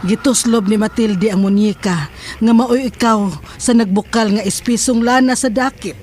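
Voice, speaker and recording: An actress playing an intense, confident mystic healer in a horror radio drama, speaking in a dramatic, deliberate way. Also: female voice, a little high, steady tone, darkish tone, lightly acted. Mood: intense, ominous.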